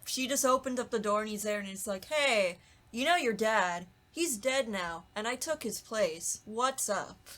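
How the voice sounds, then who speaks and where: A woman podcast co-host with a slightly high voice. She chats casually and playfully, riffing in mock dialogue as part of the conversation. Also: animated, unhurried, fairly bright tone. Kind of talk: casual chat with joking riffs.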